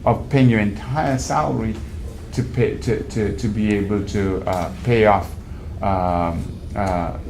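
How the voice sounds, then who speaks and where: Low-voiced man telling a panel story. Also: steady tone, takes it slowly. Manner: conversational, anecdotal, frequent restarts. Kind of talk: panel talk. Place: event venue. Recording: noisy live event recording.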